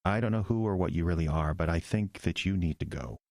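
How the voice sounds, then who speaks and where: An actor playing an earnest, anxious young male pastor in an audio drama, speaking in a measured, tense manner. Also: sits low, creaky, a little mellow, no echo, studio quality. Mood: firm, suspicious. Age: young adult.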